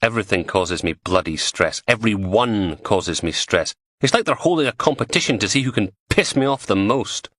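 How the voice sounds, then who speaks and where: A man's voice: lowish voice, expressive, normal speed, hardly any pauses, a bit bright, no room sound. A man playing a grumbling cynical detective. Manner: grumbling, sardonic. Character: weary, cynical male police detective.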